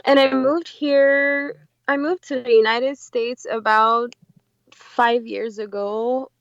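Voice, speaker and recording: On a slightly muffled recording, a woman in her twenties with a Cameroonian accent chats casually as a guest. Her voice is fairly high with plenty of creak, and she takes her time. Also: steady tone, takes it slowly, dry recording. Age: 20s. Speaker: podcast guest, entrepreneur. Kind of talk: casual interview chat.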